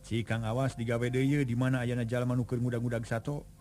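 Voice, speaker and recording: A man's voice: medium pitch, level tone, fast talker, mellow, soft background music, lightly acted. A man voicing a young hero who leads his brothers, speaking in a mild, wondering tone. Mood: pleased.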